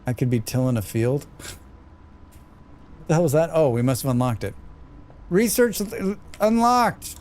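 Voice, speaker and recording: A man's voice: a little high, expressive, moderate pace, pauses often, darkish tone. A man with a scratchy voice, muttering and thinking aloud as he streams a game. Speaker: streamer playing a demo. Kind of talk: live gameplay commentary.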